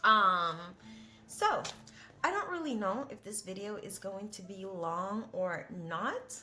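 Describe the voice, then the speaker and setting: A woman's voice: medium pitch, expressive, slow pace, bright, forceful tone. A young woman, not a native English speaker, talking in a casual, rambling vlog with many hesitant fillers. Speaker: vlogger.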